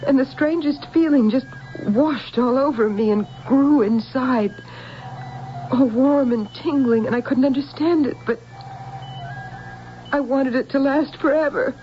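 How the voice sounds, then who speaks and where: An actress playing an anxious, emotional wife who speaks haltingly and earnestly in a radio drama. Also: female voice, sits high, lo-fi sound, faint music behind. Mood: wistful. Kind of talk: 1970s radio drama acting. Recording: band-limited old radio broadcast.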